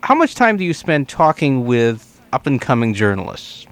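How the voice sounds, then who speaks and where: A man's voice: medium pitch, wildly swooping pitch, normal speed. Guy hosting a radio call-in show, chatty, mid-range voice. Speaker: radio interview host. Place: radio studio.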